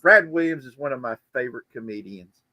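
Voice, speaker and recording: A man with a bright, forceful voice hosts a casual livestream in a close, dry sound. He rambles through commentary and slips in joking asides. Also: medium pitch, expressive, brisk, no echo. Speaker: livestream host. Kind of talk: casual chat and political commentary.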